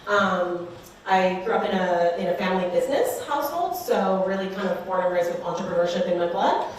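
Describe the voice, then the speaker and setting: A fast, chatty woman in her thirties from Western Canada, talking as a guest at a live event. Her voice is slightly low and sits far from the mic in a very echoey room, with faint noise behind her. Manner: chatty, many fillers like "like" and "you know". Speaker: guest, startup co-founder. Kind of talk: casual interview talk.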